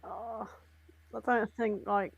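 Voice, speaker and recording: Female voice, noticeable vocal fry, middle pitch, wry casual podcast reactions. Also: fairly even intonation, slow pace, no room sound, muffled recording. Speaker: podcast co-host. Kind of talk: casual chat, reacting to a story.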